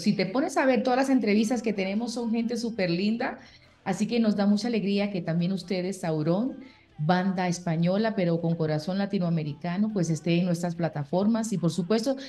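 A woman's voice: lowish voice, dark tone. A middle-aged woman from the Dominican Republic hosting an interview over a video call, speaking with effusive praise. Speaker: interviewer host. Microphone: webcam on video call.

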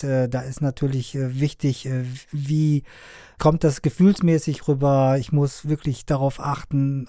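A middle-aged man from Afghanistan, a sound engineer and media trainer, answering interview questions in a relaxed way, with many fillers and hesitations. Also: mid-range voice, no room sound, studio quality. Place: studio.

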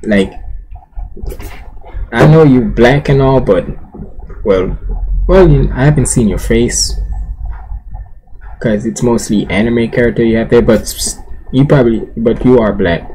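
A man's voice: mid-range voice, unhurried, long pauses, mellow, a little hiss or hum, rough recording. Young man chatting loosely on camera. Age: young adult. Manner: loose, rambling, slangy chat with restarts. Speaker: reaction video host. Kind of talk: casual reaction commentary. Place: small indoor bedroom.

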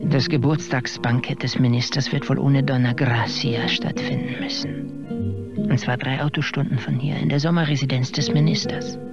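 A man playing a vengeful chauffeur in a radio drama, outwardly deferential but sly and quietly menacing. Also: medium pitch, fairly even intonation, normal speed, gravelly, natural voice. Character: softly menacing vengeful male chauffeur, aspiring singer. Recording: muffled radio drama, loud music behind.

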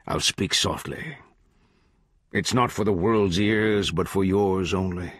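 An eloquent, menacing judge , acted by a male audiobook reader, who coaxes and pronounces in grand, archaic sentences. The delivery is slow and deliberate, the voice lowish and a little husky. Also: a bit bright, dry recording, studio quality, exaggerated voice. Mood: coaxing. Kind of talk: literary audiobook character voice.

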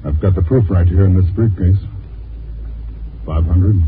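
An actor playing a shady, businesslike private detective in 1950s radio drama, curt and matter-of-fact. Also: male voice, deep voice, unhurried. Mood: curt, sly. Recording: muffled, hissy 1950s radio recording.

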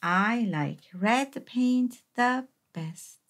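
Slow, higher-pitched woman reading a storybook. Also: animated, fairly bright tone. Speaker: read-aloud storyteller and host. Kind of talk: children's picture book read-aloud.

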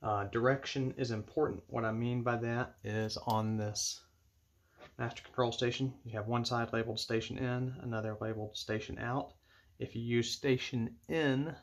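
A man giving measured, matter-of-fact step-by-step instructions as he demonstrates an equipment setup indoors at a table. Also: medium pitch, steady tone. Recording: clear background noise with clicks.

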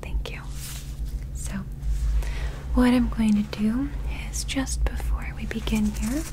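A young woman playing a calm, caring alien physician in a whispered, gentle ASMR medical roleplay, close to the microphone over background noise. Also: medium pitch, close to an everyday voice. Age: young adult. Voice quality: whispery, soft. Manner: slow, gentle, clinical, deliberate.

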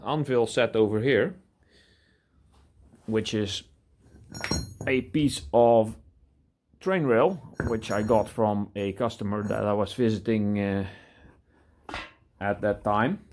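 A man talking casually through a hands-on demonstration in a workshop, halting and thinking aloud as he goes. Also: lowish voice, a little mellow, slight background noise. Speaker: hobby scrapper presenting his own video. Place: indoor cluttered workshop.

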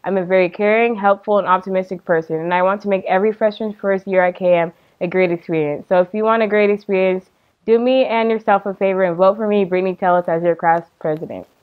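A woman's voice: medium pitch, fairly even intonation, fast, phone-quality sound. A teenage American girl giving a prepared campaign speech as a student candidate. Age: teenager, about 14. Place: indoor studio, seated.

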